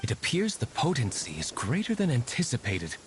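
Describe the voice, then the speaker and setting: An actor playing a composed, aristocratic winery owner in a game cutscene, speaking in a formal, measured way. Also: male voice, mid-range voice, a little hiss or hum. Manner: formal, measured, calmly authoritative.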